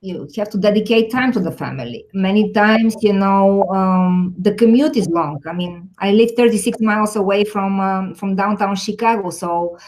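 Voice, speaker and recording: A woman's voice: medium pitch, moderate pace, few pauses, a little mellow, slight background noise, rough recording. A middle-aged woman with a Bulgarian accent answering interview questions conversationally, candid and self-deprecating, telling anecdotes as a technology executive.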